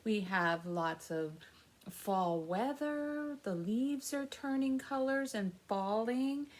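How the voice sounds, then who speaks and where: Lady doing kids story time, highish voice, really slow n expressive, reads aloud and asks the little ones questions. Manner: simple explanations and questions addressed to children. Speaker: children's librarian, story time host. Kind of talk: read-aloud story time for young children.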